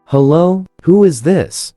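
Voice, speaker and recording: A man acting a cool, well-mannered young hero of an animated web story. His voice is mellow with lively pitch movement, dry over music. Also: takes it slowly, no room sound. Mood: guarded, questioning. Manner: cool, guarded. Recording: music behind the voice.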